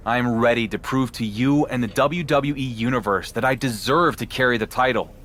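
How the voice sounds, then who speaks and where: A man's voice: mid-range voice, moderate pace, a bit bright, lightly acted. A man playing a cocky, defiant champion wrestler in a video game, confident and pointed in his talk. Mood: defiant, determined. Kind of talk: dramatic video game dialogue. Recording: faint background noise.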